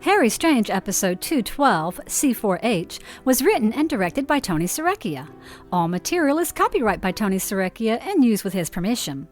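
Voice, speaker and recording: A woman's voice: on the high side, big pitch swings, moderate pace. A woman announcer reading scripted credits for an audio drama in an upbeat way. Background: music with tinkling jingle.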